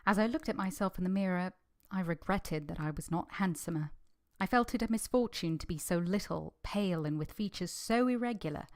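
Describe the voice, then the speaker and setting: Woman acting a low-pitched, earnest young governess. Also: a little mellow, close to an everyday voice. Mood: reflective. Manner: measured, polite, literary. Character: earnest, modest young governess recounting her life. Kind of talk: first-person narration in vintage radio drama.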